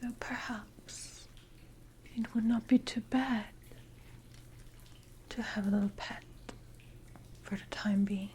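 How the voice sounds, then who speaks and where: A woman voicing a haughty, seductive and menacing siren in a breathy ASMR roleplay whisper, with deliberate, condescending delivery. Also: medium pitch, fairly even intonation, a little mellow, lightly acted. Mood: musing, condescending. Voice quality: breathy, mostly unvoiced whisper. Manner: slow, deliberate, condescending, archaic phrasing. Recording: noisy ambience with water drips.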